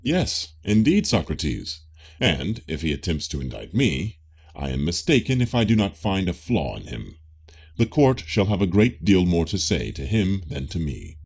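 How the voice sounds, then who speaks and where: A man's voice: sits low, huge pitch swings, moderate pace, creaky, darkish tone, slightly rough, exaggerated voice. A man voicing a self-assured, pompous Greek man of religion in a declamatory audiobook reading of a philosophical dialogue. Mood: self-assured, indignant.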